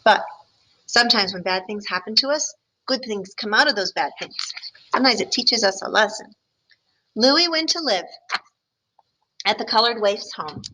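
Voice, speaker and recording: A woman teacher reading a picture book aloud, expressive, with chatty asides to her listeners. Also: fairly high pitch, moderate pace, pauses often, a little hiss or hum, poor audio. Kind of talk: storybook read-aloud with comments.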